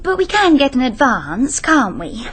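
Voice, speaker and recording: A woman's voice: high-pitched, big pitch swings, unhurried, breathy edge, full character voice. An actress playing a glamorous, flighty, money-minded young woman in a radio drama, with an upper-class English accent and gushing, theatrical delivery. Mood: eager, grasping.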